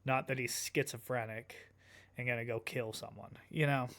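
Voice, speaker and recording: A man's voice: mid-range voice, steady tone, normal speed, a bit bright. A man giving casual, off-the-cuff reactions in a conversational, questioning way. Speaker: reaction commentator.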